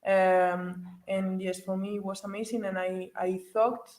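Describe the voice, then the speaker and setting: Teen woman from Spain, mid pitch, halting answers, echoey room. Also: level tone, a little mellow. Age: teens. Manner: halting, searching for words. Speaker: participant. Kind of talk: interview answers. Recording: echoey space.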